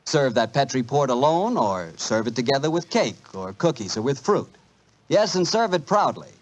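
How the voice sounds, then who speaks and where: A man's voice: medium pitch, very animated, moderate pace. A man with an American accent and a mid-range voice, working as a 1940s radio announcer. He presents in a polished, salesmanlike way.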